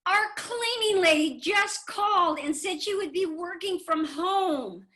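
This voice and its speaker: High-voiced older woman, slow and animated. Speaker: readers theater performer. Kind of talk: performed reading of jokes. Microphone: video call.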